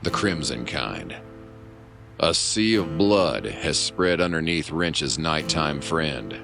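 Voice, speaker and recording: A mock-heroic hardboiled radio-serial narrator, acted by a man, deep and gravelly. He intones with big dramatic swings of pitch over guitar music. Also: animated, slow, full character voice. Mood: grim.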